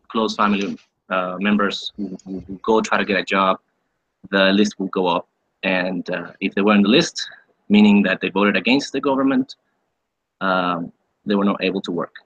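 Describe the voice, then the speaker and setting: A young male guest from Venezuela in his twenties, with a bright voice that sits a little low. He explains things at length, repeating words and filling pauses with "uh". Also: fairly even intonation, lo-fi sound. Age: 20s. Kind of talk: casual interview.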